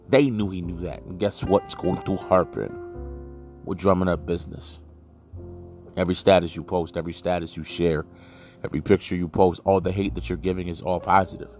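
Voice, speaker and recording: A man speaks in a deep, low-pitched voice over faint music. He hosts a casual solo podcast and gives his opinions bluntly and emphatically, in loose colloquial phrasing. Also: moderate pace. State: just woke from a nap. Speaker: podcast host.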